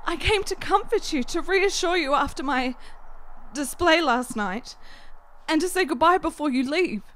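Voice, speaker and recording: A woman's voice: high-pitched, animated, moderate pace, fairly bright tone. An actress playing a sickly, lovestruck woman in a period radio drama, melodramatic and pleading in florid speech. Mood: fervent, wistful. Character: sickly, lovestruck, desperate woman in 1870s Italy.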